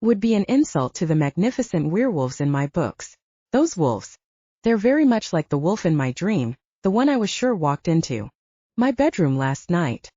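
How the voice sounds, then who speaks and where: Frank young writer gal read by a lady narrator, audiobook, mellow and dry, bit muffled. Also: lowish voice, sing-song, moderate pace, husky, no echo. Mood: admiring, wistful. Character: spirited young woman novelist, frank and curious. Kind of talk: audiobook character dialogue.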